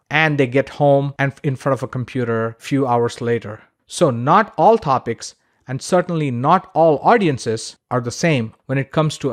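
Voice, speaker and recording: Man narrating an instructional explainer. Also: mid-range voice. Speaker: online course instructor and podcaster.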